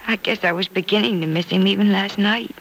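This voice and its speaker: An actress as a gentle, composed radio heroine, faint noise. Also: female voice, medium pitch, fairly even intonation, fairly quick, close to an everyday voice. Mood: wistful. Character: gentle, composed, wistful woman, older-sisterly radio heroine. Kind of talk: old-time radio drama acting. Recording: noisy old radio recording.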